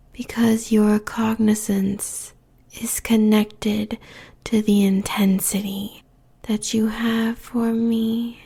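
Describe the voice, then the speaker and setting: A woman playing a seductive, possessive demon queen in a whispered audio roleplay, slow and deliberate, breathy with a gravelly fry. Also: medium pitch, mellow, full character voice. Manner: slow, deliberate, sultry, menacingly tender.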